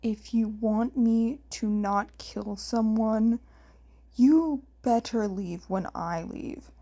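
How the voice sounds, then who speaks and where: A woman's voice: fairly high pitch, takes it slowly, warm tone. A woman voicing a curt, grumbling, protective man, a younger brother, in story dialogue. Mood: grumbling, threatening.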